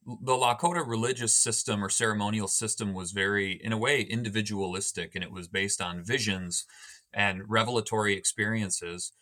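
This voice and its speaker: American man, 30s, author guest, bright tone, lowish voice, relaxed and explanatory. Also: moderate pace. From United States. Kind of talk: casual podcast conversation.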